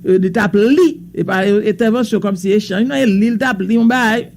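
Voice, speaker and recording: Brief: high-pitched Haitian male news presenter, hesitant delivery, faint hiss behind. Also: moderate pace, creaky, darkish tone. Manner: reads bulletins in a loose mix of French and Creole, with hesitations. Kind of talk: radio news reading.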